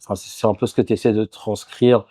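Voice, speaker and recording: A man hosting a podcast interview, asking questions in a casual, relaxed way with many fillers. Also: lowish voice, a little fast. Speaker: podcast host.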